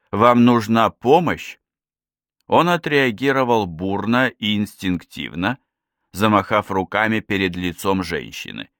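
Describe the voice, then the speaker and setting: A man narrates literary fiction in a low register. His intonation is very lively, rising and falling across the sentences of the scripted text. The sound is dry, with no room around it. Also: smooth, no room sound. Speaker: audiobook narrator.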